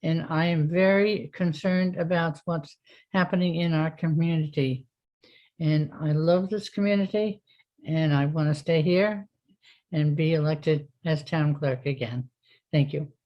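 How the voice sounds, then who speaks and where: A woman's voice: deep voice, a little slow, lo-fi sound. An older woman, a town clerk candidate, giving a formal prepared statement, stumbling and repeating words. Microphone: video call.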